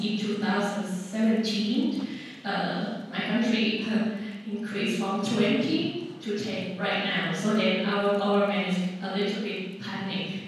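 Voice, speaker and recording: A woman's voice: medium pitch, a little hiss or hum. A young woman with a Thai accent on a conference panel, chatting in a friendly, hesitant way with many fillers, holding a handheld mic. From Thailand. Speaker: panelist, hydrologist. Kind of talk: staged panel chat. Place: large conference hall on stage. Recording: echoey hall from far off.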